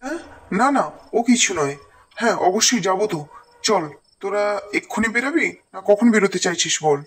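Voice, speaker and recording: A woman voicing an uneasy, hesitant young man in a horror cartoon, with halting delivery. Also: mid-range voice, expressive, husky, pitched above the performer's own voice. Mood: evasive.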